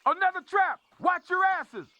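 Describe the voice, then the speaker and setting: A hot-headed young-blooded Marine grunt in a war game, very high in pitch and swinging wildly. He is cocky and foul-mouthed, heard through loud gunfire. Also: lively intonation, moderate pace, dull audio, very noisy. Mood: fired up. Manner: cocky, swearing, slangy. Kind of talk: war video game voice acting.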